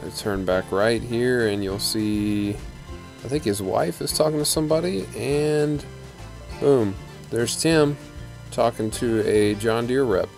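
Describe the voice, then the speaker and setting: A man giving casual, relaxed commentary as he walks through a large indoor exhibition hall, filmed on a handheld camera. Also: mid-range voice, slow, vocal fry, faint music behind. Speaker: channel host.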